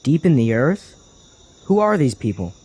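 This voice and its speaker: A man's voice: medium pitch, sing-song, moderate pace, darkish tone, breathy edge. A man playing a cautious, earnest young traveler in a fantasy audio drama, speaking formally as an anxious protector. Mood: wary.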